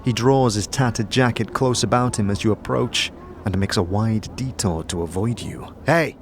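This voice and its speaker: A man narrates a scripted fantasy tale, addressing the listener as the protagonist. His voice sits fairly low, with faint ambient noise behind it. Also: normal speed. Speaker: narrator and game-master storyteller.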